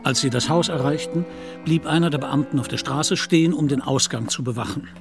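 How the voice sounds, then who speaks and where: A man's voice: on the high side, a little fast. Male radio play narrator over music.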